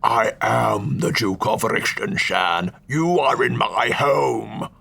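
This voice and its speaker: A man voicing a cantankerous elderly male duke who blusters and scolds in slow, gruff bursts. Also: mid-range voice, sharp tone, exaggerated voice. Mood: blustering. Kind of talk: audiobook character voice.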